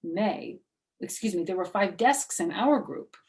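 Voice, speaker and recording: Woman as storybook first-grade girl: chatty, excitable, exclamatory. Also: mid-range voice, a little slow, slight background noise, close to an everyday voice. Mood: amazed. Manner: exclamatory, childlike phrasing.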